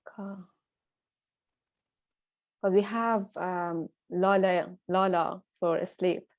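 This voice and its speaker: A woman's voice: lowish voice, steady tone, extremely slow, a little mellow. A woman with a Persian accent hosting a conversational interview, hesitant with many fillers.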